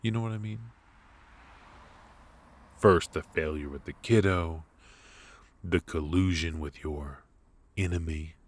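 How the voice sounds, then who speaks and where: A man playing a ruthless, teasing mafia boss in a noir ASMR roleplay, smug and flirtatious, with drawn-out pauses. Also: deep voice. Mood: mocking, amused.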